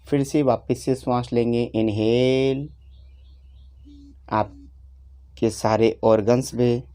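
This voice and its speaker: A man leading a guided meditation, giving unhurried instructions in short phrases with long pauses. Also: fairly low pitch, warm tone. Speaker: meditation teacher.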